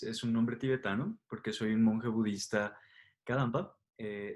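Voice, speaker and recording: A man's voice: lowish voice, a little mellow. A man with a Mexican accent answering interview questions as a Buddhist teacher, explaining didactically with rhetorical questions and tags.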